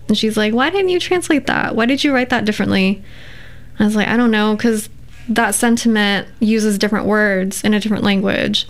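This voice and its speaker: Woman, interview guest, smooth voice, reflective, uses fillers. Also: medium pitch. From United States. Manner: thoughtful, many filler words. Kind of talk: casual interview conversation.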